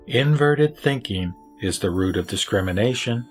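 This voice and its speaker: Wise lay sage , male reader, lower register, solemn formal sutra answers over faint music. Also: animated, moderate pace, close to an everyday voice. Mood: solemn teaching. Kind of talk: audio drama sutra recitation.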